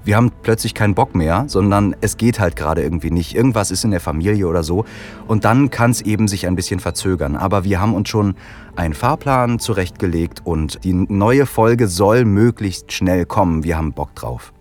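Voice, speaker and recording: A man's voice: deep voice, normal speed, a little mellow, faint music behind. A man hosting a casual podcast chat, explaining things in a friendly way while he has a cold. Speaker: podcast host.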